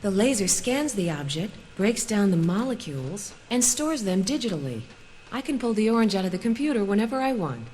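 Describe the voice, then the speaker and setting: A woman's voice: medium pitch, big pitch swings, fairly quick, a little mellow, slight background noise, natural voice. A woman playing a confident young scientist on a read-along record, explaining things matter-of-factly. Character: bright, confident young female laser scientist.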